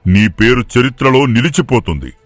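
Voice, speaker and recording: A man's voice: mid-range voice, animated, normal speed, no room sound, faint music behind, close to an everyday voice. A man playing a commanding royal envoy who speaks with grand authority in a moral story. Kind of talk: acted moral story dialogue.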